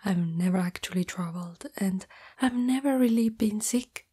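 Woman voicing a shy spider girlfriend in breathy whispers. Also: medium pitch, warm tone. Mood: gentle, confiding. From Italy. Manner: slow, hesitant, intimate. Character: shy, loving young female spider-girl, afraid of rejection. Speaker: ASMR roleplay performer. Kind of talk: whispered ASMR girlfriend roleplay.

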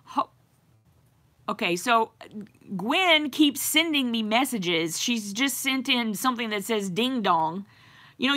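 A woman in her forties chats to her viewers from a home craft room, her voice clear and bright. She rambles along, full of ums and quick giggles, in an easy, unscripted way. The sound comes from a desk webcam. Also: on the high side. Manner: rambling, chatty, many fillers and giggles. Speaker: YouTube livestream host. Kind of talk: casual live-stream chat with viewers.

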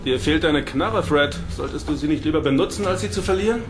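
An actor playing a sarcastic, assertive tough prisoner in a sci-fi audio drama, mocking in manner. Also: male voice, on the high side, quick pace, lightly acted. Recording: music under the voice.

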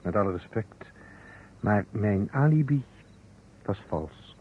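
A weary surgeon in a vintage radio play. He speaks low, in short deliberate phrases. The recording is dull, with a faint layer of noise. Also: animated. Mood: grave, confessing. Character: weary male surgeon coolly confessing a false alibi. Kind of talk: old Dutch radio play acting.

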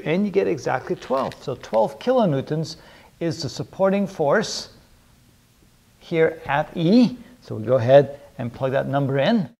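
A middle-aged man with a slightly high voice teaches as a lecturer. He works through a problem aloud at a whiteboard, methodically laying out each step. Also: a little mellow. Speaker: engineering lecturer. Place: indoors, in front of a whiteboard.

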